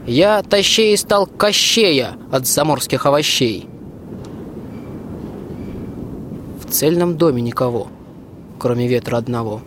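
A man's voice: medium pitch, lively intonation, normal speed, a bit bright, slight background noise, close to an everyday voice. A young man playing a bold, hearty soldier husband in a rhymed radio play. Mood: hearty, puzzled. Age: young adult. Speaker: school student actor. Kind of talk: theatrical verse radio play.

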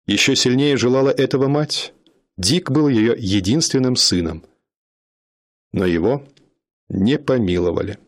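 A man narrating a radio drama in a measured, dramatic storytelling manner. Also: mid-range voice.

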